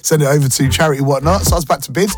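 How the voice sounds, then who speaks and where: A man chats on his morning radio show, his voice a touch croaky but full of energy as he tells jokey, slangy stories. He speaks in a fairly high register, and music plays clearly underneath him. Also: very fast. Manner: animated, jokey, slangy, excitable. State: slightly croaky voice. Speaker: radio breakfast show host. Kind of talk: casual chatty storytelling. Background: background music.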